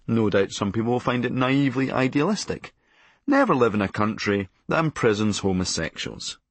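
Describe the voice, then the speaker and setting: A Scottish man reads a comic memoir in a fairly low, husky voice. His delivery is sardonic and blunt, with pitch that swings widely for dark comic effect. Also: dry recording. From Scotland. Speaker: comedian narrating a memoir audiobook. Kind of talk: scripted comic memoir narration.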